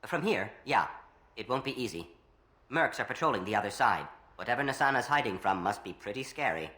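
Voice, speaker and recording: An anxious, protective civilian from a video game. He speaks earnestly and plainly, with pitch that moves around a good deal. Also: expressive, a little fast, sharp tone. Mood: wary, informative.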